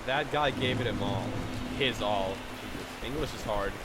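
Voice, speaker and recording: A man's voice: fairly low pitch, moderate pace, heavy background noise. Serbian-accented man streaming a game. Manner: chatty, swears, thanks viewers, reacts to gameplay. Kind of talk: casual live-stream commentary.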